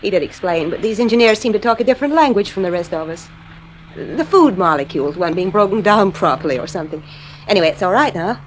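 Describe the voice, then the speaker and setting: A woman acts as a troubled wife and mother in a radio drama. Her medium-pitched voice rises and falls animatedly. She speaks with earnest, frustrated insistence. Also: expressive, fast, a little hiss or hum, natural voice, poor audio. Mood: affectionate, domestic.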